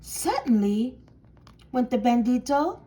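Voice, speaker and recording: A woman narrates a children's storybook in a high, very animated voice. She phrases theatrically and takes her time over the words. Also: takes it slowly. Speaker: storybook reader. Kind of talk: expressive children's read-aloud narration.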